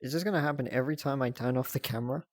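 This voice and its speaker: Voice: mid-range voice, racing, no echo, studio quality. A livestreamer chatting casually to viewers in a giggly way, often thinking aloud. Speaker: livestreamer hosting a reaction stream.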